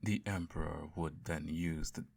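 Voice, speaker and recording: A man acts out an evil emperor in a bedtime audio roleplay. His voice sits very low, with a heavy gravelly creak. He tells his tale to a child with measured grandeur, self-righteous and doting, yet quietly menacing. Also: steady tone, full character voice. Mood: solemn storytelling.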